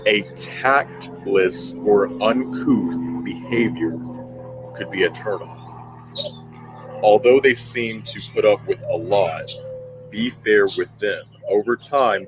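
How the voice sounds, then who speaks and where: A man's voice: on the low side, slow pace, music in the background, low-quality recording. A man hosting an astrology commentary, part reading and part ad-libbing, speaking bluntly and emphatically and repeating phrases for emphasis. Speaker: host of an astrology commentary channel.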